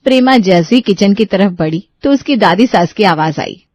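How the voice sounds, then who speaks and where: A woman's voice: mid-range voice, animated, moderate pace, a little mellow, dry recording. A woman storyteller reading scripted narration for a family moral story.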